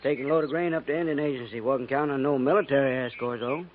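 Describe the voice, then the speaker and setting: An American actor playing a guarded frontier settler in a 1950s western radio drama, talking in a wry, laconic, folksy way. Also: male voice, medium pitch, quick pace, lightly acted. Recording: noisy, tinny 1950s radio recording.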